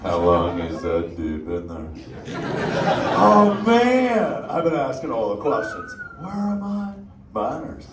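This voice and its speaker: A man's voice: medium pitch, wildly swooping pitch, takes it slowly, heavily acted. A man voicing a laid-back surfer-dude male sea turtle in a live interactive audience show, talking in easygoing surfer slang. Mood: stoked. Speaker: live theme park show character. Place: indoor theater with an audience. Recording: echoey theater from far off, crowd noise.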